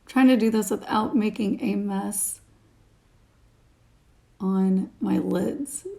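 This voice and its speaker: A woman in her thirties with a slightly raspy morning voice, giving a casual, chatty product review to camera into a phone at home. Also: mid-range voice, slow, warm tone. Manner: chatty, informal, talking while applying makeup. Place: small room at home, indoors. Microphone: phone at arm's length.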